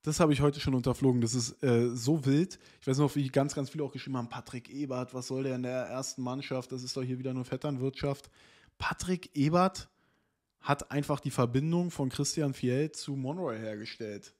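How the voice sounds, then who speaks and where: A man's voice: medium pitch, steady tone, brisk, darkish tone. Streamer, thirties, male, reads an article on stream and riffs on it casually, desk mic in a small room. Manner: reads text aloud, then comments in loose asides with fillers. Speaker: streamer reading and reacting to an interview. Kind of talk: casual chat, reading an article aloud.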